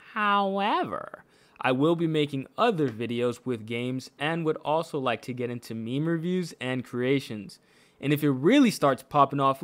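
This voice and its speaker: Higher-voiced American man, informal and playful. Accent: American, faint New York. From New York, USA. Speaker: gaming YouTuber, host. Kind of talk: scripted video narration.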